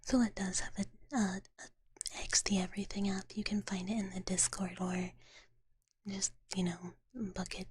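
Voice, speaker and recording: Woman, whispered ASMR, extremely breathy and husky, soft and gentle, repeated phrases, slightly worn-out voice, live streamer. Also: medium pitch, flat delivery, a little slow, no room sound. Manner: speaks of herself in third person. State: voice tiring, slightly strained. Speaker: live streamer hosting as a virtual character.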